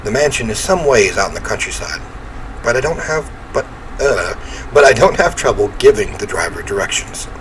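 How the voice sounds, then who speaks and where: A man's voice: mid-range voice, expressive, moderate pace, a bit bright, slight background noise, poor audio. A man reading a visual novel aloud as a game streamer, mixing dramatic narration with wry casual asides. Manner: throat-clearing, wry asides.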